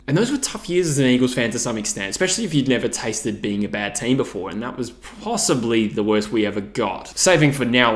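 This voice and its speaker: A man of thirty, talking casually to his channel, reflective and full of "you know" fillers. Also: mid-range voice. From Thailand. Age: 30s. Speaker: YouTube channel host. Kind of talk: casual vlog monologue.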